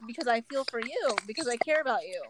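A woman in a casual group discussion, speaking candidly and haltingly. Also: on the high side, animated, background noise.

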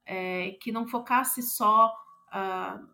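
A Brazilian woman answers as an interview guest, her pitch in the middle range. She talks reflectively as a playwright, hesitating and rephrasing as she searches for the right word. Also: steady tone, takes it slowly, pushed and bright.

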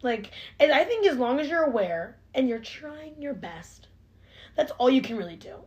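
A woman's voice: high voice, big pitch swings, normal speed, darkish tone. A nineteen-year-old woman in a casual chat, earnest and self-reflective, saying "like" all the time. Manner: chatty, heavy use of "like", earnest and self-reflective.

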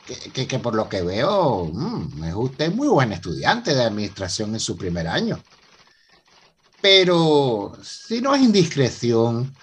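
A Venezuelan actor playing a polite, earnest professor in a radio soap opera, explaining things with hesitant stammers. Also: male voice, mid-range voice, fairly bright tone, slightly rough, heavily acted. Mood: courteous, businesslike. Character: polite, earnest university professor and new son-in-law.

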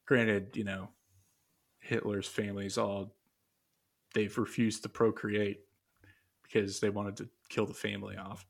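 A man's voice: on the low side, level tone, a little slow, creaky, pauses often. A man co-hosting a casual podcast, rambling and hesitant, full of fillers and restarts as he reads aloud from his notes. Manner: muses aloud while reading from notes. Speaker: podcast co-host.

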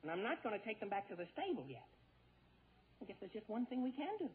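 A man's voice: sits high, big pitch swings, quick pace. An actor playing an earnest, harried teenage boy in a 1940s radio comedy. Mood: exasperated, decisive. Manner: earnest, exasperated, coaxing. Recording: muffled, tinny 1940s radio recording.

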